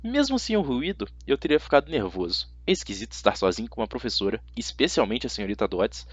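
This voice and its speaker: A man's voice: mid-range voice, sing-song, quick pace, breathy edge, dry recording, dull audio. A man with a Brazilian accent narrating a story dramatically in the first person, as an amateur audiobook reader.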